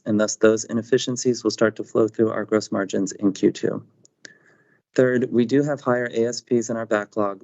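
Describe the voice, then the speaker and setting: A man with a fairly low voice reads prepared financial remarks at an even, businesslike pace. He sounds measured and composed throughout. The audio is dull and lo-fi, like a conference call. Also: gravelly. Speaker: company finance chief on an earnings call.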